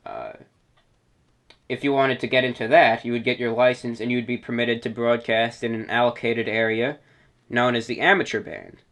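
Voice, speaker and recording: A man's voice: mid-range voice, fairly even intonation, moderate pace, a bit bright. A man hosting a hobby show, talking informally to his listeners and explaining things step by step in a didactic way. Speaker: host of a radio hobby show.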